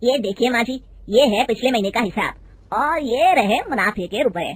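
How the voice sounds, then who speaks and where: A woman's voice: a little high, lively intonation, moderate pace, breathy edge. A woman voicing a polite, fawning, dishonest male factory manager.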